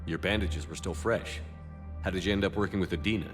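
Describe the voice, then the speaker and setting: Game commander male actor: deep register, composed, resolute military leader. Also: fairly quick, music in the background, close to an everyday voice. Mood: calm, reassuring. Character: steadfast military commander, calm and resolute man. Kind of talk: dramatic sci-fi video game dialogue.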